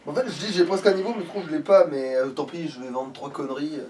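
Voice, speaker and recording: Man, fairly high voice, casual let's play commentary, sweary, grumbling, faint background noise. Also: steady tone, quick pace, a little mellow. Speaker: gamer playing in a let's play video. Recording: distant mic with background noise.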